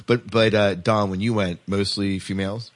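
A man's voice: lowish voice, steady tone, moderate pace. A man hosting a casual podcast discussion, often repeating and restarting his words as he puts questions. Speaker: podcast host.

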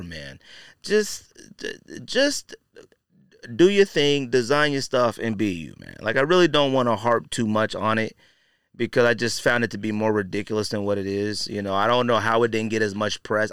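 Clear-voiced man, blunt podcast host. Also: on the low side, a bit bright, no echo, clean studio sound. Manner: conversational and slangy, frequently saying "you know" and "man". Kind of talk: casual opinionated commentary.

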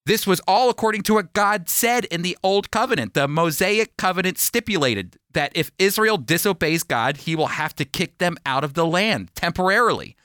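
A man's voice: high voice, animated, normal speed, few pauses, pushed and bright, smooth, dry recording, studio quality. An American man hosting a radio Bible teaching program, speaking in an earnest, emphatic, conversational way.

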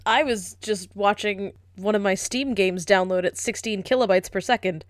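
A woman in her twenties co-hosting a casual podcast, telling stories in a wry, quick, profane way. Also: medium pitch, no echo. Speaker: podcast co-host. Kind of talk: casual chat. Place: apartment.

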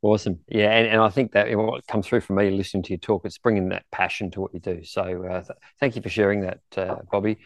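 A man's voice: fairly low pitch, steady tone, fast, hardly any pauses, no echo. A man hosting an interview, putting questions to a guest in a conversational way. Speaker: podcast interviewer, host.

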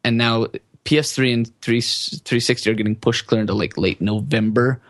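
A man's voice: mid-range voice, steady tone, normal speed, no room sound. Jokey rambling man, dry close sound. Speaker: podcast co-host giving game news. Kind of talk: casual gaming podcast chat.

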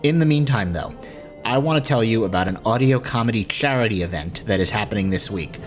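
Low-voiced casual man hosting a podcast. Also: lively intonation, fairly quick, soft background music. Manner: informal, conversational. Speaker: podcast host. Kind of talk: casual announcement to listeners.

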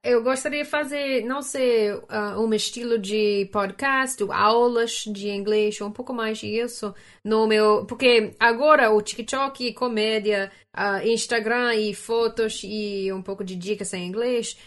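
Canadian woman, English native, unhurried halting Portuguese, searches for words, mid-pitched voice, casual guest. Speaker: podcast guest. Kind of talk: casual chat.

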